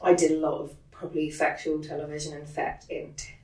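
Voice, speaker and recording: A low-pitched woman with a New Zealand accent chats as an interview guest, quick and animated, with plenty of "like". She sits a little back from the mic, so her voice carries a roomy echo. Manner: quick, animated, peppered with "like" and laughs. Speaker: interview guest, production head. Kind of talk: casual interview chat. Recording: roomy sound, distant from the mic.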